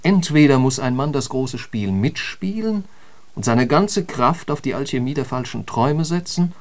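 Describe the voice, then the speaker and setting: An actor as an eloquent intellectual holds forth in a literary radio play. His middle-pitched male voice is emphatic and declamatory, with the bite of a polemicist. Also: lively intonation, normal speed, a little mellow, natural voice. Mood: fervent. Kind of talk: literary radio drama monologue.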